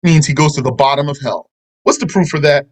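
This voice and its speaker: A man's voice: fairly high pitch, fairly quick. Man teaching a religious text. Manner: explanatory, reads a passage then comments, mixes in Arabic terms. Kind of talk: religious lesson, commenting on a translated text.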